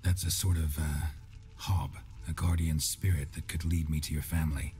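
Cold, cynical monster hunter for a fantasy game: extremely deep, deadpan, terse, faint music behind. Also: male voice, moderate pace. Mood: matter-of-fact. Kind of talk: dramatic video game acting.

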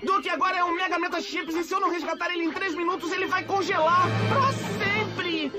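Brash, very high-pitched cartoon boy. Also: fairly even intonation, brisk. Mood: urgent. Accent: Brazilian. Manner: exclamatory, cocky. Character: brash, impulsive, energetic young boy hero. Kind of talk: animated cartoon dub. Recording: loud cartoon music behind.

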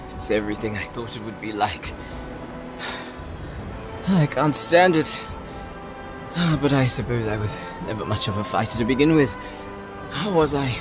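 A man's voice: mid-range voice, animated, moderate pace, pauses often, over music, lightly acted. A man acting a wounded, devoted warrior brother in a dramatic fantasy audio roleplay, with a strained, emotive delivery. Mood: strained, defiant.

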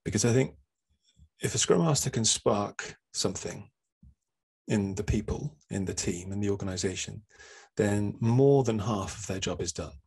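A man on a video call panel delivers his answer with dry, self-deprecating wit. His voice is low and husky, with a bit of grit to it. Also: moderate pace, darkish tone. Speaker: panelist, author. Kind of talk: casual panel discussion.

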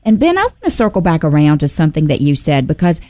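A woman's voice: deep voice, big pitch swings, fast talker, warm tone, dry recording, dull audio. A middle-aged woman co-hosting a radio show from a studio, presenting in a polished way. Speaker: radio show co-host, restaurant broker. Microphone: studio mic on boom arm.

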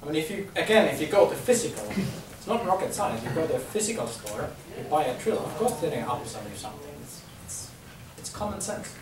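A man's voice: fairly high pitch, sing-song, brisk. Finnish man presenting in an echoey room. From Finland. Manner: chatty, repeats words, many ums. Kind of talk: business presentation. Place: conference room with audience. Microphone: far camera, no mic. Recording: echoey room from far off, faint hum.

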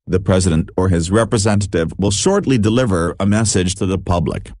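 A man's voice: low voice, brisk, a little mellow, no echo, studio quality. A man reading a scripted official alert notification as an emergency announcer.

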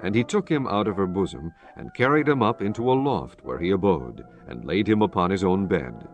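Low-voiced man narrating scripture. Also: animated, dull audio, faint music behind. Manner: solemn, measured storytelling.

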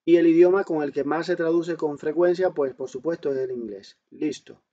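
A man's voice: fairly high pitch, steady tone, moderate pace, warm tone. A man instructor giving a step-by-step smartphone tutorial in an explanatory way, often asking questions and answering them himself, as a voice-over with dull, lo-fi sound. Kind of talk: step-by-step smartphone tutorial, talking while demonstrating. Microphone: voice-over on a screen recording.

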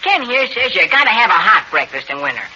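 A man's voice: high voice, sing-song, quick pace, bright, forceful tone, breathy edge, heavily acted. A male actor playing an eager, boisterous American boy in a 1940s radio serial, exclamatory and slangy. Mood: earnest persuading. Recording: muffled noisy 1940s radio broadcast.

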